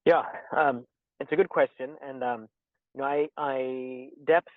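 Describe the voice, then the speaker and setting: A man answering interview questions thoughtfully about poetry and teaching, pausing often with fillers and restarts. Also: medium pitch, steady tone, no room sound. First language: English. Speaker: interviewed poet and theology teacher. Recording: tinny dry phone-like line.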